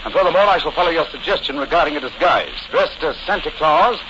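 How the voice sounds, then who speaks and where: An actor playing a shrewd, amused gentleman detective with an English accent, urbane and clipped in a 1940s radio drama. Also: a little high, expressive, fast, close to an everyday voice. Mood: brisk, intent. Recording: noisy, dull old 1940s radio recording.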